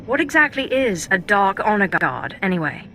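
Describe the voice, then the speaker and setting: A cool, confident Sith warrior speaks tersely in a bright, sharp voice. Her lines are clipped and slightly dry, with faint noise behind them. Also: medium pitch, very animated, moderate pace. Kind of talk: video game voice acting.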